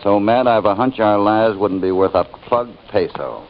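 An actor playing a steady, principled cowboy hero with an American accent, firm and measured, in old-time radio western style. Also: male voice, on the low side, close to an everyday voice. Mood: wary. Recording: muffled, noisy old radio recording.

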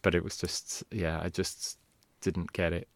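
Low-voiced man, rambling. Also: little pitch movement, unhurried, vocal fry, no room sound. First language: Welsh. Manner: rambling, restarts, candid. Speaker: guest. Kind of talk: casual interview talk.

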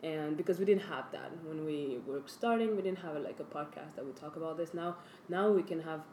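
A young woman with a low-pitched voice talks in a chatty, emphatic way on a podcast. The room around her is echoey, with a faint hiss underneath. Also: dark tone. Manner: chatty, emphatic, quick to laugh. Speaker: podcast co-host. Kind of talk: casual chat.

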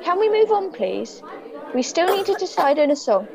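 A woman's voice: extremely high pitch, sing-song, moderate pace, creaky, crowd noise, exaggerated voice. A woman playing a fussy schoolteacher in a comic monologue, speaking in a prim, patronizing tone with swooping intonation. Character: prim, fussy schoolteacher facing an unruly class.

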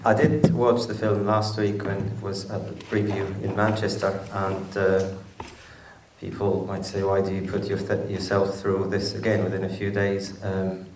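A man from Syria speaking as a panel guest, soft-spoken and halting, with many ums, still shaken. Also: sits low, completely flat delivery, slight background noise. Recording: roomy hall from far off.

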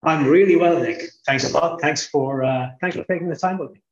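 A middle-aged Irish man answering interview questions in a measured, explanatory way, sometimes restarting a phrase. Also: fairly high pitch, echoey room, highs cut off. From Ireland. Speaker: guest, technology executive.

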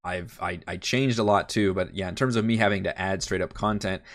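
A man's voice: low-pitched, fairly even intonation, brisk, fairly bright tone. A man with a head cold and a nasal, congested voice, chatting loosely as a game master on a livestream and thinking aloud with many fillers and restarts. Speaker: game master and channel host. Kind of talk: casual livestream Q&A.

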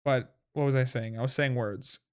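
A twenty-year-old streamer hosting a casual chat, talking quickly and playfully with restarts. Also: medium pitch, fairly even intonation, darkish tone, clear, resonant tone. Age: young adult. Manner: quick, stumbling, playful talk.

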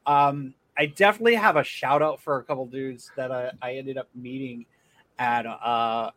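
A man's voice: mid-range voice, moderate pace, a bit bright. A man hosting a casual sports podcast, talking loosely, swearing often and restarting his sentences. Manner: loose and profane, with frequent restarts. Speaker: podcast host.

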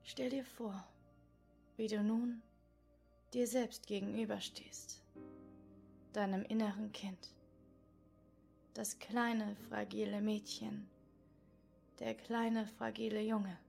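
Slow, whispery woman guiding a meditation. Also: medium pitch, level tone, creaky, faint music behind. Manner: slow soft whispery guidance. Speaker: meditation guide. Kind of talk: guided inner-child meditation.

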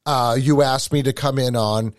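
A man with a New England accent, hosting a podcast and chatting in an animated, casual way, often repeating words as he starts a thought. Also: mid-range voice, moderate pace, no room sound, studio quality. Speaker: podcast host.

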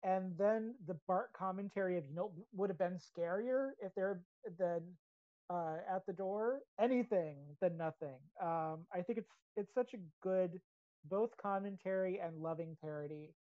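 An American woman with a fairly low voice talks casually, as a podcast co-host giving her commentary on pop culture. The sound is a little dull and lo-fi, with very little room in it. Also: moderate pace, no echo.

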